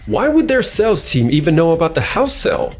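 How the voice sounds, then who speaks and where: A man's voice: fairly high pitch, very animated, a little fast, a little mellow, faint music behind. A man playing an irritable, defensive company boss in a manga dub, curt and exasperated. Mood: irritated. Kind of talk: expressive manga dub voice acting.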